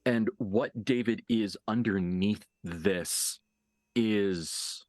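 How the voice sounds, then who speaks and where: A man narrating a tabletop horror game as game master, dramatically and deliberately, with pauses for emphasis. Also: on the low side, a little mellow, dry recording, clean studio sound.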